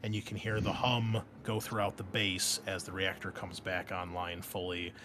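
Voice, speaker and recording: A man's voice: low-pitched, little pitch movement, moderate pace, bright, forceful tone. A man hosting and game mastering a tabletop roleplaying game in a casual, explanatory, conversational way. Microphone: close microphone with pop shield. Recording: clearly noisy background.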